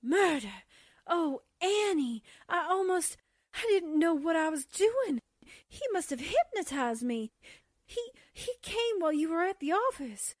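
A woman playing an anxious, bewildered young woman in 1940s radio drama style, halting and breathless. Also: very high voice, very animated, full character voice. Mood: distraught.

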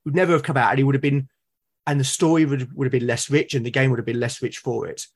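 A British man chatting as a podcast guest, thoughtful and halting as he builds his points. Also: medium pitch, steady tone, breathy edge. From Britain. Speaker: podcast guest, game master and podcast creator. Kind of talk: casual interview conversation.

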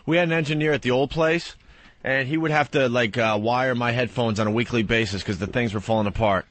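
A man's voice: medium pitch, no room sound. A man hosting a radio show, chatting casually and laughing with his co-host and guest. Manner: relaxed, laughing, quick asides.

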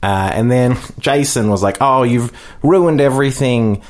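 A man's voice: medium pitch, a little slow, natural voice. A man playing Medea, a proud, unrepentant ancient Greek sorceress, with grand, camp, self-satisfied storytelling in an improvised comedy podcast.

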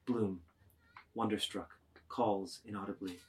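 Guy narrating a novel like stage directions, lowish raspy voice, measured phrasing. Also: steady tone, darkish tone. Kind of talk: scripted literary narration, reading stage directions aloud.

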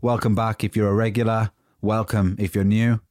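A man hosting a motivational podcast, a bit croaky from a cold, talking in an informal, chatty, unscripted way. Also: lowish voice, flat delivery, moderate pace, darkish tone, no room sound, studio quality. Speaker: podcast host. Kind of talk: motivational talk.

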